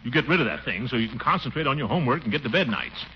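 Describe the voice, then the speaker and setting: Pompous middle-aged uncle: blustery, exclamatory, wide-swinging pitch, muffled old radio sound. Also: male voice, big pitch swings, rapid-fire. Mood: pompous lecturing. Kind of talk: 1940s radio comedy acting. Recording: muffled, noisy 1940s radio recording.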